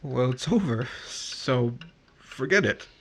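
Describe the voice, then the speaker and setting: Male actor for misunderstood, jealous husband in 1950s radio drama. Mid-pitched, husky, brooding, halting and wounded. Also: animated, full character voice. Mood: jealous, sullen.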